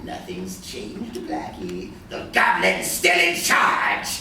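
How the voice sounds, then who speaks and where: A man's voice: medium pitch, wildly swooping pitch, drawn-out words, sharp tone, heavily acted. A man playing a manic, gloating supervillain who taunts in a cackling sing-song, in a live radio play. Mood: gloating command. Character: manic, gloating male supervillain, mocking and bossy. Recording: echoey hall from far off, noisy.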